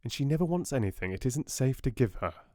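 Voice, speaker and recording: A man voicing a fond, boyish, wistful father in a storybook, tender and gentle. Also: mid-range voice, animated, fairly quick, no room sound, clean studio sound, voice pushed up, close to an everyday voice.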